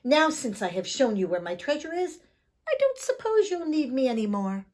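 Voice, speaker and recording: A woman acts out a tiny old leprechaun man from a storybook. He is crotchety and sly, with a high, swooping voice and a sharp, scolding, folksy turn of phrase. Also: sing-song, moderate pace. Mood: sly, polite.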